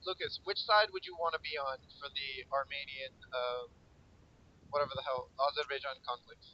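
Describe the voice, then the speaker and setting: A young man hosting a gaming roleplay voice chat, animated and swearing freely. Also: mid-range voice, moderate pace, slight background noise. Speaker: roleplay server host. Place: online voice call. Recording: lo-fi voice chat audio.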